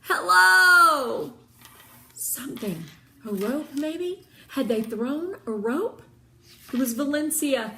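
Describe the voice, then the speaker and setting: A woman reading a children's storybook aloud in an expressive, dramatic manner, with pondering pauses. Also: sits high, slight background noise. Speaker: read-aloud narrator of children's books.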